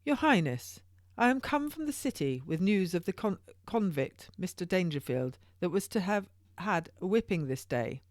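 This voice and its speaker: A woman's voice: fairly low pitch, big pitch swings, normal speed, hardly any pauses, no room sound, studio quality, voice pushed up. A woman voicing a small, well-fed male messenger who tells his news with relish and deference in archaic English for an audiobook. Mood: urgent. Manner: passionate, deferential archaic storytelling.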